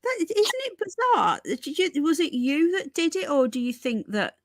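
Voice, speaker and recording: A woman's voice: high voice, sing-song, normal speed, no echo, clean studio sound. Chatty woman hosting a livestream. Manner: chatty, repeats herself, calls viewers folks and darling. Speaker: livestream host. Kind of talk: casual live news chat with viewers.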